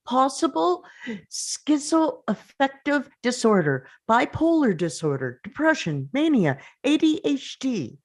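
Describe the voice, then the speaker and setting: A woman's voice: high-pitched, sing-song, takes it slowly, exaggerated voice. A woman playing a grieving, angry father in a dramatic script read, confrontational and emphatic. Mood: pressing, controlled. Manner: confrontational, emphatic, pressing with questions.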